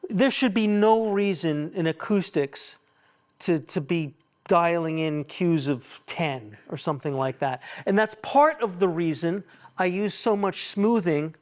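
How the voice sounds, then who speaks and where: Man with a fairly high voice, an instructor giving a hands-on technical demo, musing aloud and explaining in asides. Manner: halting, self-questioning, explanatory asides. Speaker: acoustics consultant leading a tuning workshop. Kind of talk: informal live technical demonstration, thinking out loud.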